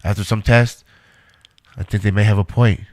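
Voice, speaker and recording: A man's voice: lowish voice, fairly even intonation, normal speed, darkish tone, dry recording, lightly acted. A man playing an earnest scientist who defends his invention in an audio drama. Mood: explaining, uneasy.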